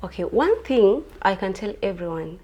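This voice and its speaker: A woman in her twenties from Kenya, a pageant contestant answering interview questions in an earnest, soft-spoken way. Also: medium pitch, very animated, normal speed. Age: 20s.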